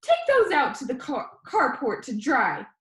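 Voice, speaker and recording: A woman voicing a worried, scolding grandmother in a storybook, with folksy, drawn-out, emphatic delivery. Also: sits high, huge pitch swings, voice pushed up, full character voice. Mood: sternly scolding. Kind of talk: storybook read-aloud. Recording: echoey room.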